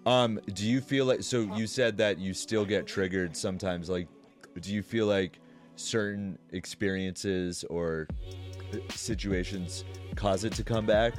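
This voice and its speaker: A man's voice: on the low side, steady tone, measured pace, soft background music. A man who sometimes stutters, talking candidly as a guest in a casual podcast conversation. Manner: thoughtful, candid, speaks with some stuttering and restarts.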